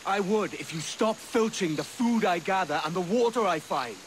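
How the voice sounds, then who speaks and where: An actor playing a blunt, defiant pirate captain in a video game, scolding and exasperated. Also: male voice, high voice, animated, normal speed, slight background noise. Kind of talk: dramatic video game acting. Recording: music behind the voice.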